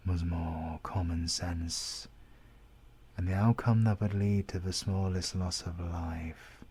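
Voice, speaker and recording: A man playing a deep, gravelly British villain. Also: warm tone, slight background noise. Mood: calm, calculating. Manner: slow, deliberate, pompous and threatening. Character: menacing, mysterious male supervillain who drains life force. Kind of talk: ASMR audio roleplay monologue.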